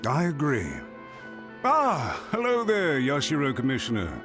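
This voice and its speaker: A man's voice: mid-range voice, huge pitch swings, measured pace, music in the background. A man playing a polite, deferential clan retainer in a video game, speaking formally. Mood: businesslike.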